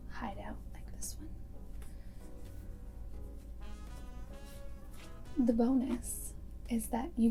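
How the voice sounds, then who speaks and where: Very slow, soft-spoken vampire girlfriend, acted by a woman. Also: fairly high pitch, poor audio. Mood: suave, teasing. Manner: unhurried, deliberate phrasing with breathy whispered stretches. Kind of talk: ASMR roleplay, half-whispered.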